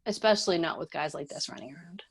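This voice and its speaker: Woman playing tough, low-voiced sardonic fighter. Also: fast, husky. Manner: cool, mouthy, challenging. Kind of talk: screenplay table read acting.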